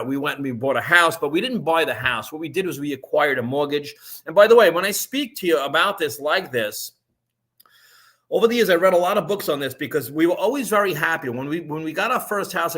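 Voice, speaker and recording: A man, talking casually on a live stream and pitching an online business. He rambles, restarts often and keeps checking in with "right?". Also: fairly bright tone. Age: 50s.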